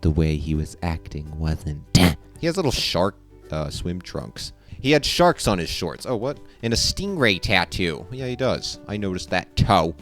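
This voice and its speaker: A man's voice: deep voice, sing-song, a little slow, a bit bright, faint music behind. A young man giving casual, irreverent, profane game commentary and reading the on-screen text aloud in a slangy way. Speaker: let's player.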